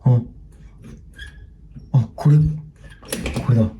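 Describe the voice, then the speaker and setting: A man hosting a ghost-hunting vlog, talking to camera by himself in short, halting fragments. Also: mid-range voice, mellow, slight background noise. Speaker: host of a ghost-hunting video series. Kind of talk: hushed solo vlog narration to camera. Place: indoors, hotel room. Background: music.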